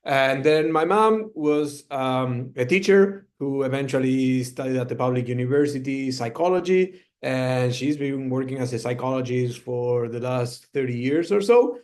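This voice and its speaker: A middle-aged man from Argentina, a guest founder speaking over a video call and telling his story in a relaxed way, with frequent fillers. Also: medium pitch, normal speed, hardly any pauses. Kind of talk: storytelling presentation.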